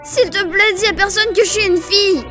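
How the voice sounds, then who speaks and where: A woman playing an expressive, excitable young girl who poses as a male student, in an anime fan dub. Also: extremely high pitch, a little fast, soft background music. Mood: panicked, pleading. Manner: expressive, gushing and exclaiming.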